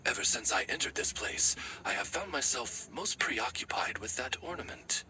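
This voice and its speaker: A man's voice: medium pitch, big pitch swings, normal speed, sharp tone, soft background music. A man voicing a formal, deferential and polished servant to a noble lady in an anime game. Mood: polite.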